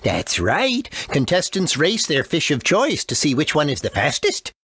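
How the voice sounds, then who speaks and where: A woman's voice: low-pitched, huge pitch swings, measured pace, a bit bright, slightly rough, exaggerated voice. A woman voicing a pompous town mayor in a video game, showy while explaining things. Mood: proud. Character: pompous town mayor announcing a festival contest.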